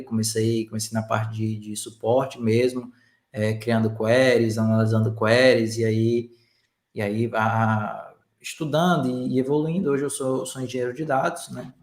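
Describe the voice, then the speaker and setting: A man's voice: medium pitch, little pitch movement, normal speed, roomy sound. A man from Fortaleza, working as a data engineer, introducing himself with hesitant restarts. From Fortaleza, Brazil. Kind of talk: online class introduction.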